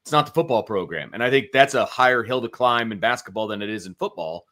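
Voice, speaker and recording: A man's voice: lowish voice, animated, fast, a bit bright. A man co-hosting a sports show as analyst, giving opinions in casual, emphatic, colloquial talk and repeating his key phrases.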